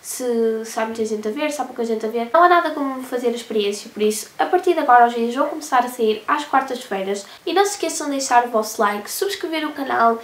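A hoarse teenage girl with a European Portuguese accent, chatting casually to the camera as a vlogger from her bedroom. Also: a little high, animated, fast, few pauses, echoey room. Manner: chatty, talks with her hands. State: hoarse from a cold. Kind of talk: casual vlog talk to camera. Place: bedroom, indoors.

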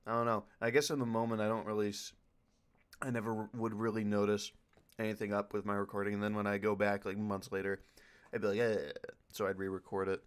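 A man with a fairly low voice speaks as a voice actor on a panel. His talk is casual and unscripted, as he answers questions off the cuff. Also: flat delivery, quick pace, smooth.

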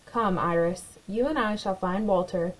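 A woman voicing a calm, kind schoolteacher in a storybook reading, giving gentle, measured instructions. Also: mid-range voice, husky, rough recording.

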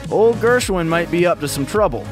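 A friendly young gator from a children's storybook, played by a man speaks in a high voice. He is earnest and casual, a little concerned for his friends, over clear music. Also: lively intonation, brisk.

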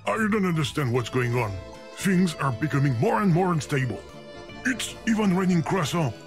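An exasperated game narrator. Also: male voice, on the high side, expressive, normal speed, soft background music. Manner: exasperated, pleading.

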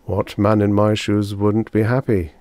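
Storybook everyman, wretched sullen father, deep male voice, sardonic and drained played by a man. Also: level tone, unhurried, warm tone, no echo, natural voice. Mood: hollow sarcasm. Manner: hollow, sardonic, taut. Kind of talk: audiobook character acting.

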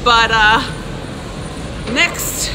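A young woman vlogging on a phone at arm's length as she walks through a crowded indoor night market, giggly and excitable. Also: on the high side, extremely slow, background noise. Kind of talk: handheld night-market vlog. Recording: loud crowd and music behind.

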